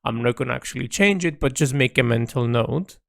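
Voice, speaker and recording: A man's voice: mid-range voice, a little fast, vocal fry, fairly bright tone, dry recording, clean studio sound. A man speaking hesitantly and reflectively, thinking aloud in an unscripted audio diary as an illustrator. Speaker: illustrator keeping an audio log.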